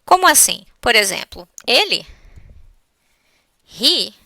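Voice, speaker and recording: A woman's voice: a little high, sing-song, takes it slowly, creaky, sharp tone, dry recording, studio quality. A Brazilian woman teaching a grammar lesson in a conversational, step-by-step way, often checking that listeners follow. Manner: didactic, conversational, spells points out step by step. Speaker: English teacher.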